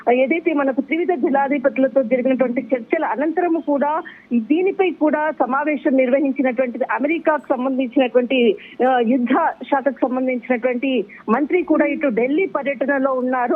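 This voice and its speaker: A man giving an unscripted live news report as a bureau chief over a tinny phone line, halting and wordy, often repeating himself. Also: extremely high pitch. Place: on a phone call into a newscast. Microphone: telephone.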